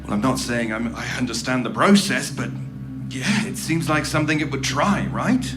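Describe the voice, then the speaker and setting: A man's voice: mid-range voice, expressive, moderate pace, over music, close to an everyday voice. A man playing an impatient, sarcastic interrogator for a secretive organization in an audio horror drama. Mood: uneasy, dismissive.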